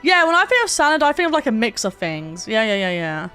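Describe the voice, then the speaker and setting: High-voiced woman streaming a game. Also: big pitch swings, quick pace, sharp tone. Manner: sweary, joking, rambling. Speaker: livestreamer. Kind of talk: casual game stream chat. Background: game music.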